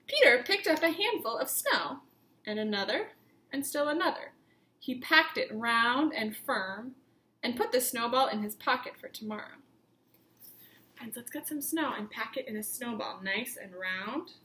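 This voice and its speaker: A woman teacher reading a picture book aloud to young children, with expressive emphasis and simple questions put to the listeners. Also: on the high side, normal speed, echoey room. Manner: simple instructive phrasing, questions addressed to children.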